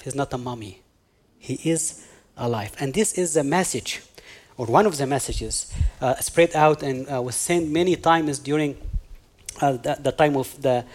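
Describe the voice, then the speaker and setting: A man's voice: a little high, a little mellow. Man with an Egyptian accent preaching. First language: Arabic. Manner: halting, hunting for words with many pauses. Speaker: guest pastor. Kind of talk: sermon.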